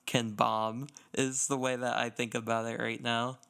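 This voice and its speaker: A man's voice: medium pitch, flat delivery, moderate pace, gravelly, bright, forceful tone, clean tone. A man co-hosting a podcast, talking casually in a loose, rambling way peppered with "like". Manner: loose, rambling, says "like" often. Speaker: podcast co-host.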